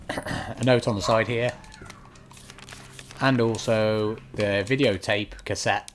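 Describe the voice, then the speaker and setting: A man's voice: lowish voice, fairly even intonation, slow. Man guiding casually, faint clicks behind. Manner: explanatory, step-by-step guiding. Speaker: video game walkthrough commentator. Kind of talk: casual gameplay commentary. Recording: faint keyboard and click noise.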